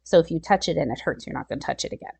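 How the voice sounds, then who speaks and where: Expressive woman reading aloud to kids. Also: low voice, rapid-fire, creaky, husky. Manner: expressive, exclaiming, talks directly to young listeners. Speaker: children's storytime reader. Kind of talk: read-aloud of a children's nonfiction picture book with chatty asides.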